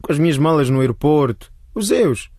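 A man's voice: a little high, animated, a little fast, darkish tone, dry recording, studio quality. A man playing a careless young houseguest in a radio drama, talking in an offhand way. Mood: sheepish. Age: young adult. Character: careless young foreign houseguest, dismissive and apologetic.

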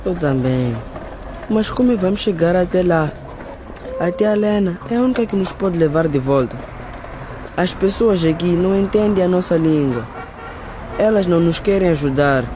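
A man's voice: high voice, animated, normal speed, pauses often. A stubborn street boy, earnest, radio drama, traffic noise behind. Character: boy Bruno, stubborn older brother.

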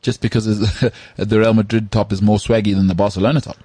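A man's voice: lowish voice, level tone, fairly quick, warm tone, breathy edge, no room sound. A man co-hosting a podcast, chatting casually and teasing his co-host. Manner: teasing, joking, jumps between topics. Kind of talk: casual chat.

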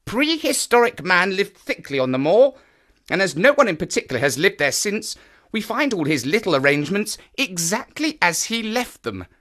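A man playing an eager male naturalist who knows the moors, explaining with animated enthusiasm. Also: high-pitched, moderate pace, full character voice. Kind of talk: audio drama acting.

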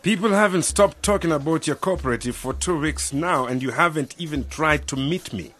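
An actor playing a pompous, self-important government official in a radio drama. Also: male voice, a little high, fairly quick, a bit bright. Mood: officious, huffy.

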